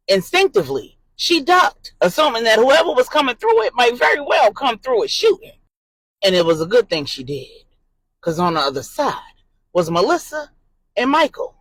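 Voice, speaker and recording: A woman narrating an adult drama story in an expressive, suspenseful way and voicing the characters' dialogue. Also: a little high, moderate pace, no echo, low-quality recording.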